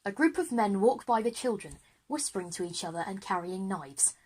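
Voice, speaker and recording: A woman's voice: medium pitch, lively intonation, a little fast, a little mellow, husky, low-quality recording. A woman reading scripted narration for a children's audio drama.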